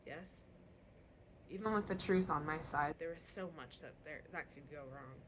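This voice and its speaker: A woman's voice: deep voice, normal speed, lightly acted. Wry young prince voiced by a woman, noisy recording. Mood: guarded. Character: young runaway-prince lad, wry, guarded and defiant. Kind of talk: storybook read-aloud dialogue.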